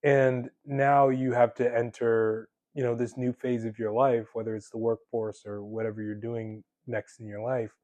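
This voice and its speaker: A man's voice: mid-range voice, flat delivery, moderate pace, hardly any pauses, mellow, no room sound, muffled recording. Young man, podcast host, casual chat, dry close mic, frequent "like"s. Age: 20s. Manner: chatty, many fillers, laughs easily. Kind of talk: casual conversation.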